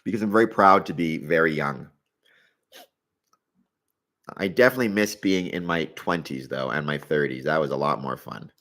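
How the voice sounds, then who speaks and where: Very deep-voiced man in his forties. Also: normal speed, long pauses, a bit bright. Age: 40s. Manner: conversational, thinking aloud with hedging asides. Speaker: live stream host. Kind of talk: casual live Q&A chat.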